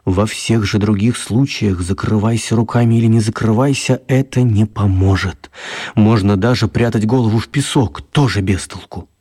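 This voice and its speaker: A man narrating a children's radio story expressively, with theatrical emphasis and drawn-out words. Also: lowish voice, mellow, husky.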